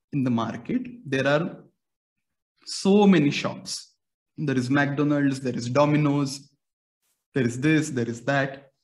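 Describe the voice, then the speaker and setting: A young man from India talks through his ideas in Indian-accented English, his first language being Marathi. His voice sits a bit higher than most men's. He explains patiently, like a teacher walking a student through everyday comparisons. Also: darkish tone, poor audio. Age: young adult. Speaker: music educator. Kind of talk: explanatory interview answers.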